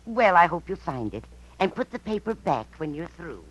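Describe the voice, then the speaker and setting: A husky woman's voice swoops widely in pitch, a small-town librarian. She is prim and chatty, in 1950s radio drama style. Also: big pitch swings, normal speed, poor audio. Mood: fussy.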